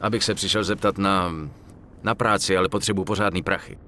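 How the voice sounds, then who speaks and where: Low-voiced tough young veteran. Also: male voice, flat delivery, pushed and bright, a little hiss or hum. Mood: guarded. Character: tough, plain-spoken young male war veteran turned gangster. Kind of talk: dubbed crime game cutscene acting.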